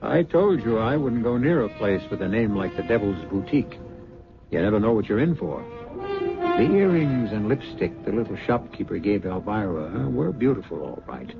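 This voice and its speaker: A man's voice: medium pitch, sing-song, normal speed, vocal fry. A man hosting a suspense radio drama, narrating as a wry, conversational storyteller. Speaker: host and narrator. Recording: muffled old radio recording with music.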